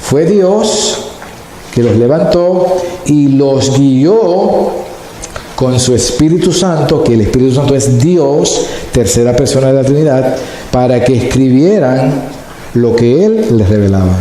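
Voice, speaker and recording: A male pastor preaching a sermon slowly and deliberately, stretching words for emphasis. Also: medium pitch, lively intonation, mellow. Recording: very echoey hall, faint noise.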